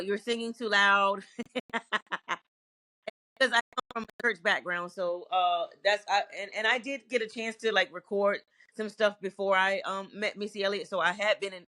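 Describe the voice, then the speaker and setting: A middle-aged woman from Virginia talks through her career in an earnest, reflective way, often circling back to restart a sentence. Her voice is bright and forward. The sound is dry and close. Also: mid-range voice, no echo. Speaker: singer, interview guest. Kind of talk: candid interview answers.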